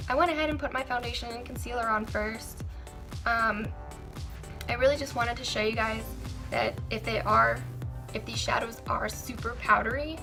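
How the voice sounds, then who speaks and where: A young woman with a raspy, sore throat, chatting candidly through a makeup review with frequent ums and restarts. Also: fairly high pitch. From Maryland, USA. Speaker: beauty vlogger. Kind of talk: casual product review.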